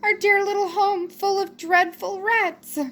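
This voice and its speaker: Woman doing a high, fretful little mother mouse. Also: level tone, unhurried, a bit bright, slight background noise, exaggerated voice. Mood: distraught. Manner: fretful, wailing, old-fashioned motherly phrasing. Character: distraught motherly female church mouse, fretful and tearful.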